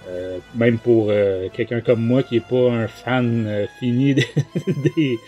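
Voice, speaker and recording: A man's voice: medium pitch, takes it slowly, dark tone, muffled recording, faint music behind. A man with a Quebec French accent hosting a casual book review podcast, talking in a hesitant way. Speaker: podcast host.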